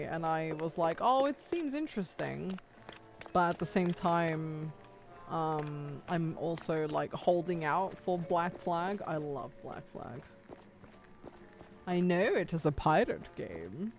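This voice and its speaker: Chatty woman streaming a video game. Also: lowish voice. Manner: chatty and animated, reacting to the game and chat. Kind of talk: casual gaming livestream chat.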